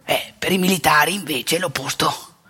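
A woman playing an outspoken, rebellious elderly male priest in a colloquial theatrical monologue, wry and impassioned, with a husky, gravelly voice. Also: low voice, normal speed, pushed and bright, heavily acted. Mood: indignant, emphatic. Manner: colloquial, confiding, swinging into emphatic indignation.

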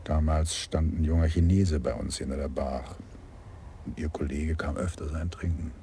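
A man's voice: a bass voice, normal speed, mellow, slight background noise. An actor playing a chatty, gossipy male nightclub doorman in a radio crime play. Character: sly male club doorman, old acquaintance of police.

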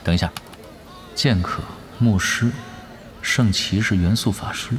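A man playing a laid-back, confident veteran gamer in an audio drama, with casual, unhurried assurance. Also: on the low side, a bit bright. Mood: calm, assured. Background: faint music.

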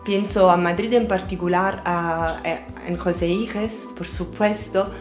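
A woman with an Italian accent, Italian being her first language, answering interview questions as a radio artist. She talks thoughtfully, pausing often to search for her words. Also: on the low side, fairly even intonation, faint music behind. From Naples, Italy.

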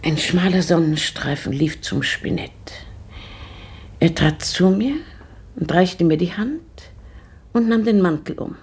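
An actress playing a woman lost in memories, speaking slowly and whispering to herself in a radio play. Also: on the low side, a little mellow, breathy edge, full character voice. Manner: slow, dreamy, whispering to herself. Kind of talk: radio drama monologue.

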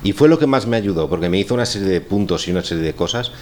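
Deep voiced guy from spain, spanish accent, wry rambling chat, bit of room noise. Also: lively intonation, racing, a little mellow. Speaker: scriptwriter and course teacher. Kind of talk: casual chat on a radio show. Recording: clearly noisy room recording.

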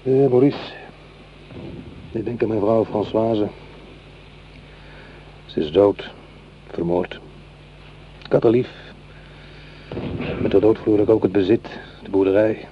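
An actor playing a weary, grieving army corporal and widowed farmer in a radio play, plain-spoken and measured. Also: male voice, on the low side, steady tone. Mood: grieving, subdued. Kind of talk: Dutch radio play acting. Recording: dull, noisy old radio recording.

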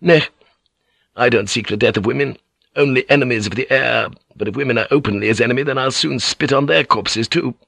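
A man's voice: medium pitch, fairly quick, vocal fry, a bit bright, breathy edge, dry recording, voice pushed up. A man voicing an arrogant, ruthless Japanese warlord general in an audiobook, with a cold, scornful manner. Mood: icy, contemptuous. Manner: cold, scornful, rhetorical questioning. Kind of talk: dramatic audiobook character voice.

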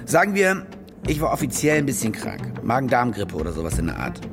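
A man's voice: medium pitch, wildly swooping pitch, fairly quick, music in the background. A man playing a cynical, demanding star war reporter in a naturalistic radio drama, brusque and self-important. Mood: brisk, scheming.

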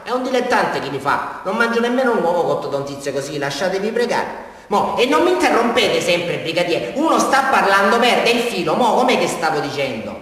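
An actor playing a rambling, unhinged villain from a small town confessing to police in a radio drama, with a Southern Italian accent and an erratic, repetitive delivery. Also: male voice, high voice. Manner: erratic, digressive, repeating himself, swinging from loud to hushed. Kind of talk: theatrical radio drama monologue. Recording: very echoey hall, distant mic, music. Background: music.

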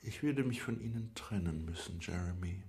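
An actor playing an aloof, exacting English gentleman in a radio drama, clipped, formal and imperious. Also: deep voice, normal speed. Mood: cool, disdainful.

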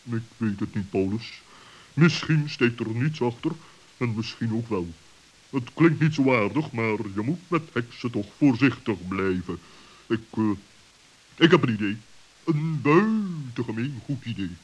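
A man playing a cautious, wise owl with a deliberate, pompous way of speaking in a children's radio play. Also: mid-range voice, lively intonation, darkish tone, slightly rough, poor audio. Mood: doubtful, pondering.

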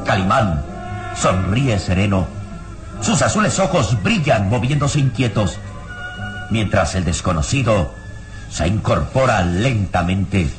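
An actor narrating an adventure radio serial in a solemn, suspenseful, dramatic style. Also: male voice, lowish voice, sing-song, over music. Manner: suspenseful, solemn, measured phrasing. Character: omniscient suspenseful adventure storyteller.

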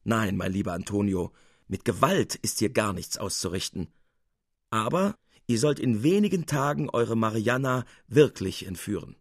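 A man's voice: medium pitch, dry recording, studio quality, close to an everyday voice. A man voicing a jovial, confident schemer from a storybook, hearty and teasing as he reassures.

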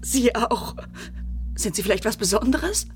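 An actress playing a battered, bitter and despairing wife in a German radio drama, distressed, tearful and accusing. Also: female voice, a little high, lively intonation, unhurried. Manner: anguished, accusing. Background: faint music.

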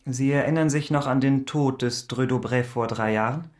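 A man's voice: mid-range voice, normal speed, lightly acted. Radio drama questioner, male actor: official, formal, inquisitive man with a medium voice. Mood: probing. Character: brisk, probing male official questioning a doctor. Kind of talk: German radio crime drama acting.

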